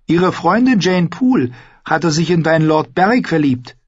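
A man's voice: a little high, animated, moderate pace, husky, no room sound, muffled recording, pitched above the performer's own voice. A man voicing a feisty, suspicious young noble girl in an audiobook, blunt and challenging. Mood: curious. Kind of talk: audiobook character voice.

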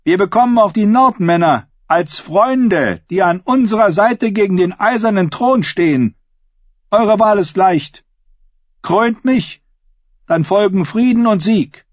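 A man's voice: sits high, animated, a little slow, no echo, dull audio, voice pushed up. A man voicing a bold, defiant young noblewoman in a dramatic audiobook, with a declamatory, challenging delivery. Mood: rallying, proud.

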